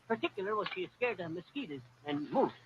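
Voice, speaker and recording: A man's voice: sits high, animated, brisk, a bit bright, husky, dry recording, heavily acted. An actor playing a boastful, rambling tall-tale teller in a 1940s radio comedy, folksy and laughing at his own jokes. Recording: dull old radio recording, faint hiss.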